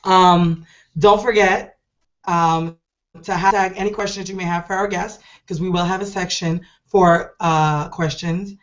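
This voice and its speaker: A middle-aged woman hosting an online show, hoarse and deep-voiced from a cold, talking in a chatty, rambling, enthusiastic way. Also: fairly even intonation, measured pace, highs cut off. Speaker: host. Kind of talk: casual online show talk.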